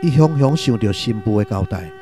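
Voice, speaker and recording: A man's voice: fairly low pitch, expressive, fairly quick, music in the background, natural voice. A man with a Taiwanese accent narrating a literary radio drama from a script.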